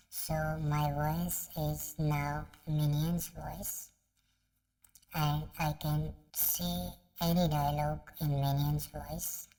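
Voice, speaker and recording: Man as a slow cartoon creature, voice-changed. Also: fairly high pitch, mellow, voice pushed up, rough recording. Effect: real-time voice changer. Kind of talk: reading aloud in character voice.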